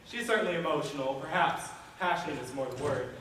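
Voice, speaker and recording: A man on stage playing a measured, courteous 19th-century gentleman, speaking formally and deliberately. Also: fairly high pitch, lightly acted. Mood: reasoned. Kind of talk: stage play acting. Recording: echoey auditorium, distant mic, faint noise.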